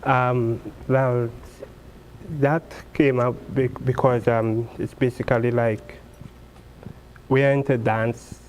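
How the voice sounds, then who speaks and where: A man from Trinidad and Tobago, a steel pan player answering interview questions in a halting way, restarting and repeating words. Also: medium pitch, flat delivery, darkish tone, slight background noise, low-quality recording. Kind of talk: interview guest.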